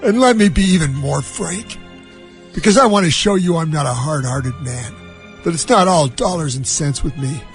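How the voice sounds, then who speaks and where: A blustering middle-aged movie mogul, played by a man in a comic impression, pitched very high. He rants with wounded pride and heavy emphasis, stretching out each grievance. Faint music sits behind the voice. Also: animated, lightly acted. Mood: bitter, boastful. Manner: emphatic, drawn-out ranting. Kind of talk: comic impression of a film scene.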